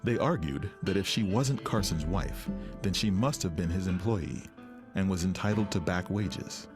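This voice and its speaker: A man narrates a documentary in a very low-pitched voice, with music playing clearly behind him. The delivery is scripted and orderly, that of a storyteller guiding a film. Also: normal speed. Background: background music.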